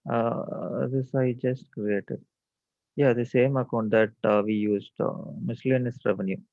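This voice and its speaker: A man working as a software trainer, giving a halting, stop-and-start demonstration over an online call. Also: mid-range voice, fairly even intonation, vocal fry, dry recording. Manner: hesitant, stop-and-start delivery. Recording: narrow, phone-like online call audio.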